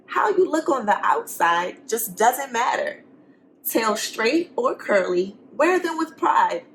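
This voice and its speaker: A woman's voice: a little high, a bit bright, a little hiss or hum, poor audio. A woman teacher reading a storybook aloud to young students, expressively, with simple encouraging questions and asides for the children.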